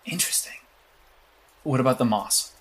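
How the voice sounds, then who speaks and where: A man's voice: mid-range voice, moderate pace, fairly bright tone, slightly rough. A man playing a blunt, secretive vigilante strategist in an audio drama, clipped and matter-of-fact. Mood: cool, deadpan.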